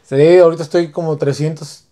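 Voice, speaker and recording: A middle-aged comedian ribs his co-host with crude jokes. His voice is warm and mellow, a touch high for a man. He is heard close on a lapel mic in a small studio. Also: fairly even intonation, fairly quick. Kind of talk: casual chat. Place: small studio with brick-wall set. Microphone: clip-on lapel mic.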